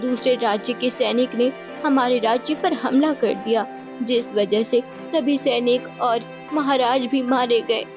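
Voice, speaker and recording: A woman plays a young refugee princess in a cartoon tale. She is formal, fearful and pleading, with a slightly high voice over clear music. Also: a little slow, natural voice. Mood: distressed.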